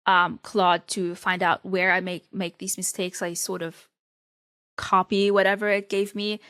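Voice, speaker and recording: Animated woman writer, dry close sound. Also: medium pitch, unhurried, clear, resonant tone, no room sound. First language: Arabic or French. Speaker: newsletter writer. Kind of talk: casual interview talk.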